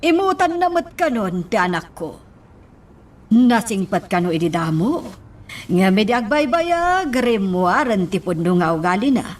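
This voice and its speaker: A protective, indignant mother in a radio drama. She speaks slowly with big emotional swings and hesitant interjections. The mic sits a little far off with faint noise behind. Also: mid-range voice, very animated. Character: mother defending her daughter, indignant and protective. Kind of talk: radio drama acting in Ilocano. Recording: slightly distant mic, faint background noise.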